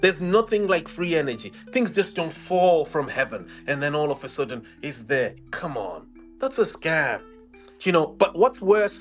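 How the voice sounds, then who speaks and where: A Zimbabwean man with a slightly raised pitch argues his case on a livestream. He is emphatic and combative, repeating phrases to drive them home. Soft guitar music plays behind him. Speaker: investigative journalist, livestream guest. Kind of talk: argumentative livestream interview.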